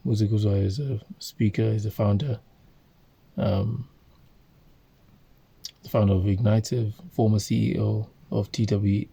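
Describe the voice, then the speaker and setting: Gravelly, dark-voiced man from Zambia. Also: on the low side. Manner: halting, many fillers and self-corrections. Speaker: host reading a book aloud. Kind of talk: informal reading and commentary.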